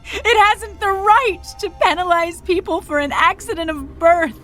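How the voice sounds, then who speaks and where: A woman's voice: very high-pitched, unhurried, fairly bright tone, faint music behind. A woman acting a desperate, pleading hospital patient in a radio drama. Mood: anguished pleading.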